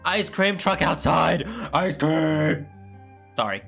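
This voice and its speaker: Very deep-voiced woman playing games. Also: takes it slowly, gravelly, fairly bright tone, soft background music. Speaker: game streamer. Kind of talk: casual gameplay commentary.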